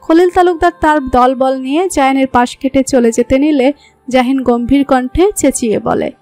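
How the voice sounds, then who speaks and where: A woman's voice: sits high, moderate pace, a little mellow, faint music behind. A woman with a Bangladeshi accent reading scripted narration for a romantic story.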